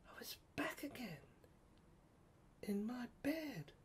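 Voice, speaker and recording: A woman narrating an audio play as dramatic first-person storytelling, halting and theatrical, often drifting into whispers. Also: on the high side, sing-song, a little hiss or hum.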